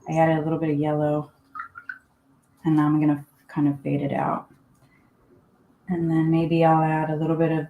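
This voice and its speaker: A woman from Finland talks an online class through her painting in a relaxed, chatty way, thinking aloud as she works at her desk. Her voice sits fairly low. The recording has a roomy echo around it. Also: slight background noise. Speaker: art teacher. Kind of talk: live painting demonstration. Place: home desk. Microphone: live online class setup.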